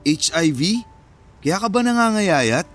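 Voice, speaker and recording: A man's voice: sits high, very animated, a little slow. A man playing a cocky, defensive young womanizer in a Filipino radio drama. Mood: defensive, dismissive. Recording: music bed under the dialogue. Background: music.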